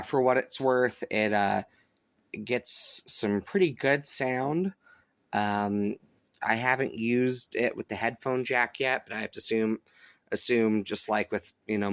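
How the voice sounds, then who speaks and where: A man's voice: medium pitch, no room sound. Man casually reviewing gear, dry close sound. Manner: hesitant, thinking aloud. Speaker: radio reviewer, vlogger. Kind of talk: casual unscripted product review.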